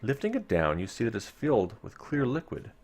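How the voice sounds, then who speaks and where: A man's voice: medium pitch, wildly swooping pitch, fairly quick, darkish tone. A man live-streaming a read-aloud of an adventure gamebook, mixing storytelling narration with casual asides to his viewers.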